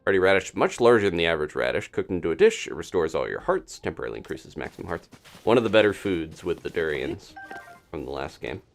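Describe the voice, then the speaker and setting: Low-voiced man with animated, swooping intonation. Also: fast, creaky, faint music behind. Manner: chatty, thinking out loud. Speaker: video game streamer. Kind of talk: casual live gameplay commentary.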